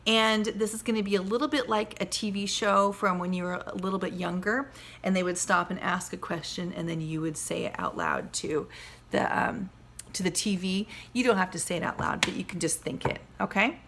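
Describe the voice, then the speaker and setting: A woman's voice: lowish voice, animated, moderate pace, fairly bright tone. A woman teacher with a slightly scratchy voice, explaining simply to young children as she teaches a lesson and reads a picture book. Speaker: second-grade teacher. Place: at home.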